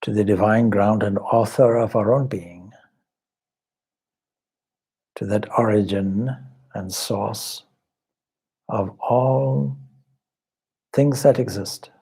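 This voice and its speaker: A man with a low, husky voice guides a meditation. He speaks very slowly and contemplatively, leaving long pauses between short phrases as a spiritual teacher does. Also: warm tone. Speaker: swami leading guided meditation.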